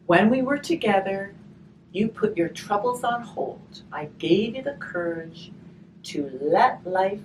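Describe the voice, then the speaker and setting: A woman reading a picture book aloud slowly and expressively, pausing to chat and put questions to her listeners. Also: mid-range voice. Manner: slow, expressive reading with chatty questions to the audience. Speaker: hospice volunteer reading a picture book to children. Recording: distant mic, faint background noise.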